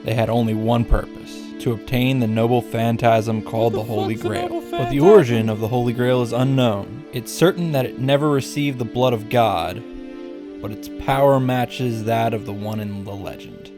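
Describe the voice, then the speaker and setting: A man's voice: medium pitch, animated, fairly quick, music in the background. A man recapping a visual novel as a host, wry and irreverent, with joking asides and swearing for comic effect. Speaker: video game summary host. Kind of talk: sarcastic story recap with asides.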